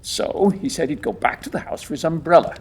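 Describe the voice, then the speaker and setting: A man's voice: a little high, animated, a little fast, slightly rough. A man playing an earnest, deferential and rather agitated police inspector in an audio drama. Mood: baffled, earnest. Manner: emphatic, deferential. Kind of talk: audio theatre acting.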